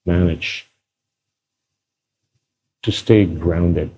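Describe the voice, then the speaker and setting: A man from Indonesia hosts an interview and presses his guest with short, probing follow-up questions. His voice is very low and husky, with a dark, warm tone. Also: steady tone, very slow. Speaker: podcast host, interviewer. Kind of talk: conversational interview.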